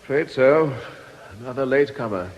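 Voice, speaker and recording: An actor playing a genial, mild-mannered English parish priest in a radio drama, courteous and conversational. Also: male voice, medium pitch, lively intonation, moderate pace, muffled recording, close to an everyday voice. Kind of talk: British radio drama acting. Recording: echoing church acoustic, hissy old radio recording.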